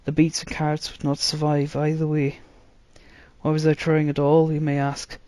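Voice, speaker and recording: A man's voice: a little high, completely flat delivery, moderate pace, mellow, no room sound. A man reading a fantasy story aloud as a dramatic storybook narrator. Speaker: narrator, reader.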